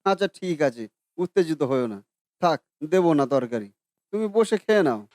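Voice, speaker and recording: A man's voice: on the high side, big pitch swings, normal speed, a little mellow, slight background noise. A mild, easygoing father. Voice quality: soothing, placating.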